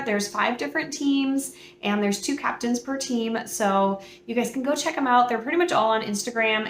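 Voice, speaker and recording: Woman, chatty book host, somewhat hoarse, echoey room, faint hum. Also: fairly high pitch, fairly bright tone. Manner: bubbly, chatty, many fillers. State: hoarse from a cold. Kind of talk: casual chat to camera.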